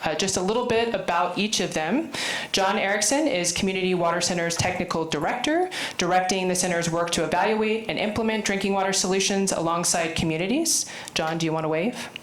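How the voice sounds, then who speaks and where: A woman moderating a conference panel, lively and playful, with a loud voice. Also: lowish voice, fairly quick. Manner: lively, playful, talks loudly. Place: conference room. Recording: roomy echo, faint room noise.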